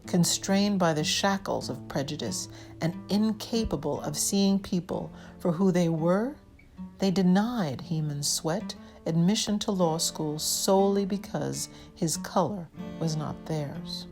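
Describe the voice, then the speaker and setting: Female actor to read a commemorative speech: fairly low voice, deliberate, dramatic delivery over faint guitar. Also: a little mellow.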